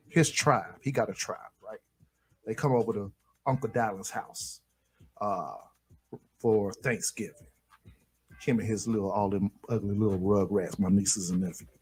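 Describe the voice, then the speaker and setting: A man co-hosting a radio show in casual banter, animated and joking. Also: fairly low pitch, slightly rough. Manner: animated, joking, swings into loud stern put-on voices.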